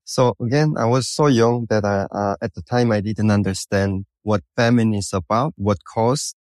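A man in his thirties from North Korea with a Korean accent, answering interview questions in a halting, thoughtful, explanatory way. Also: on the low side, a little mellow, no echo, clean studio sound. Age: 30s. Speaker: podcast guest recounting his life.

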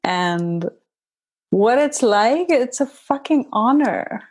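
Slow, reflective woman from India. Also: on the high side, animated, slightly rough. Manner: reflective and unhurried, full of "like", laughs easily. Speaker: podcast guest, healing facilitator. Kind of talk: casual podcast conversation.

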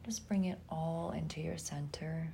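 Female meditation guide, soft and mellow, low register, breathy phrase endings, faint rumble behind. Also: steady tone. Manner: soft, soothing, often trailing into breathiness, with long pauses.

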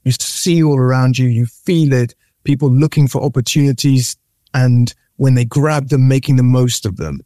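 A man's voice: medium pitch, normal speed, no echo, clean studio sound. A middle-aged man, born in Nigeria and raised in the UK, talking as a guest in a casual interview, full of repeats and restarts. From born in Nigeria, raised from age eight in the UK. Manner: animated storyteller with repeated words and restarts.

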